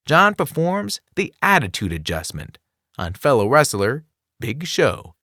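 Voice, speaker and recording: A man narrating a scripted children's non-fiction book in an expressive, clearly enunciated storytelling delivery. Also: a little high, no room sound, clean studio sound. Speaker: audiobook narrator.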